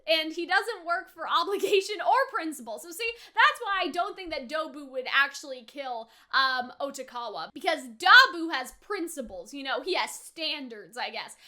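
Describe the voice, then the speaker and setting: High, bright-voiced woman chatting casually. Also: normal speed, hardly any pauses. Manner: chatty, animated retellings with slangy asides. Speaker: anime reaction host. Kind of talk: casual reaction commentary.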